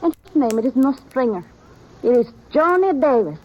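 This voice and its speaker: An actress playing a proud, defiant young frontier woman who speaks halting in an old radio drama. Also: sits high, big pitch swings, a little mellow. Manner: broken, halting English, proud and defensive. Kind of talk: 1950s radio drama acting. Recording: dull old radio recording with faint hiss.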